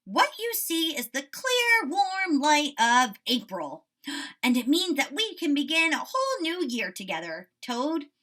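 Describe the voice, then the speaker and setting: High excitable male frog, voiced by a woman, cheerful devoted friend, swooping intonation, storybook read-aloud. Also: unhurried, full character voice. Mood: cheerful, eager. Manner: excitable, emphatic.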